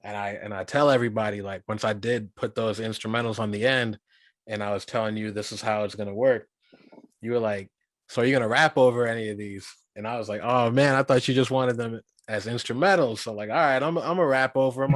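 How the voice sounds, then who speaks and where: Man from Guyana, rapper co-host, mid-pitched voice, casual slangy chat, dry close recording. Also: fairly even intonation, fast, no echo. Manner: easygoing, chatty, slangy storytelling. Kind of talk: casual podcast interview.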